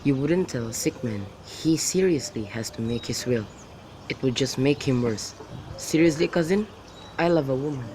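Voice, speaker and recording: A man's voice: medium pitch, moderate pace, natural voice. A young man playing a lovesick, brooding youth in an amateur radio drama of modern-English Shakespeare, with birdsong behind him. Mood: dejected, evasive. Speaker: student actor. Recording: faint background noise with birdsong.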